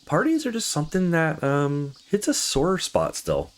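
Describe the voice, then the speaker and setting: A man's voice: a little high, wildly swooping pitch, moderate pace, pitched above the performer's own voice. A man voicing a playful, blunt young woman with slangy speech in a visual novel game. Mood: sore, hesitant.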